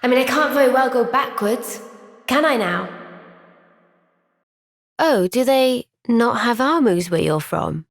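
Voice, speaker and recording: An actress voicing a young woman soldier in a game cutscene, soft-spoken and caring. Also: fairly high pitch, lively intonation, measured pace, gravelly, long pauses, no room sound, studio quality, heavily acted.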